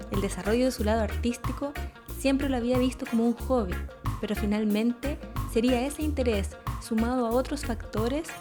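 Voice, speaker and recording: A woman hosting an interview show, presenting and asking questions in Spanish. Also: on the high side. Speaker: podcast host and interviewer. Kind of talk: scripted introduction and interview.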